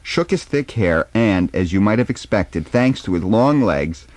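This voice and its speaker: A man reading the part of a gossipy, scornful high-society concertgoer in an emphatic dialogue reading. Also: lively intonation, a little slow, a little mellow, no room sound. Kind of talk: informal dramatic reading of a dialogue.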